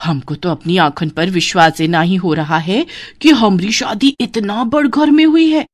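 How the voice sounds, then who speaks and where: A woman's voice: mid-range voice, moderate pace, natural voice. A woman voicing a brash, cheeky young village daughter-in-law in a folksy, exclamatory way with a rustic Banaras accent, for a story. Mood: amazed.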